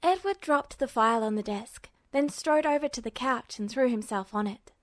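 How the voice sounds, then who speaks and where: Woman reading fanfic aloud, higher voice, steady, super dry recording. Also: moderate pace, no room sound. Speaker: narrator.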